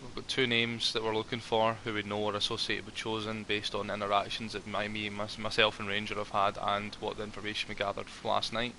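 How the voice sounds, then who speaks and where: A man's voice: lowish voice, monotone, fairly quick, gravelly, few pauses, bright, forceful tone, a little hiss or hum, low-quality recording. A man chatting in character as a ranger in a roleplay, friendly and rambling, full of ums and restarts.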